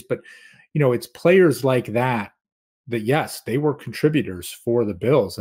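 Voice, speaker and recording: Husky-voiced man breaking down sports. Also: mid-range voice, a little mellow. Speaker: sports podcast guest analyst. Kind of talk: casual sports analysis.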